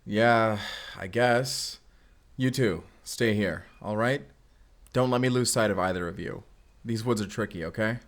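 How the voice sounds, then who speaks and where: An actor playing a wary, blunt young Spanish vampire slayer in an audio roleplay drama. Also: male voice, on the low side, measured pace, natural voice. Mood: reluctant, stern. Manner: blunt, guarded. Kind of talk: cinematic audio roleplay acting.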